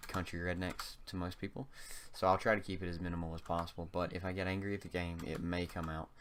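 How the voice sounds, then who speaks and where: A young man from North Carolina with a slight Southern accent talks through a game in a deep, low voice. He speaks haltingly and fills his pauses with um and uh. Faint keyboard clicks and typing can be heard behind him. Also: little pitch movement, fairly bright tone, a little hiss or hum. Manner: halting, heavy on um and uh fillers. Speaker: gaming channel host. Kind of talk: casual gameplay commentary.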